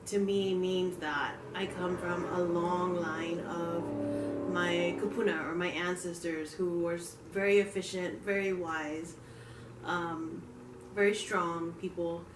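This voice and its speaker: Woman from Hawaii, reflective interviewee, lowish voice, echoey room with the mic set back. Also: fairly even intonation, measured pace, far from the mic, soft background music. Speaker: community leader interviewee.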